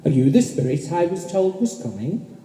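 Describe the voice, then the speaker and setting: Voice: mid-range voice, sing-song, moderate pace, warm tone, natural voice. A performer playing a troubled old male miser in an amateur radio play, pleading and emotional. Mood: anxious, awed. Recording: echoey hall with noticeable reverb.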